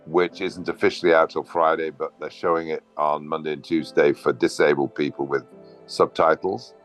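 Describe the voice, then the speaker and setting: A man in his sixties speaks over a video call in an extremely low, deep voice. He rambles earnestly as he introduces himself with spiritual phrasing. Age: 60s. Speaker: meetup participant, systems designer.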